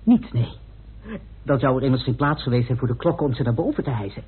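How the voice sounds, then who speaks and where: An actor playing a methodical researcher explaining evidence precisely in a Dutch radio play. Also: male voice, mid-range voice, quick pace, breathy edge. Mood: methodical, earnest. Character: Peacock, methodical, meticulous male researcher laying out evidence. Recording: muffled, band-limited old radio recording.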